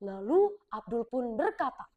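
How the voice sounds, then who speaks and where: A female storyteller from South Sumatra narrating a folk tale in a clear, expressive way. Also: on the high side, a little slow, narrow, tinny audio. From South Sumatra, Indonesia.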